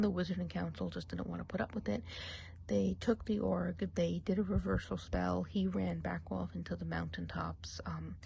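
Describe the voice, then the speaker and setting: A woman plays a chatty witch of the woods, trading gossip in a low, gravelly hush that drifts between soft talk and whispers. Her tone is mellow and dark, with a faint hiss of noise behind her in this ASMR roleplay.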